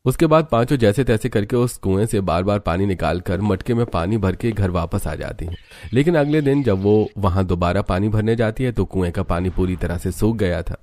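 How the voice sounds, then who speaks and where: A man's voice: on the low side, lively intonation, brisk, a little mellow. A man narrating a scripted cartoon village story as its storyteller.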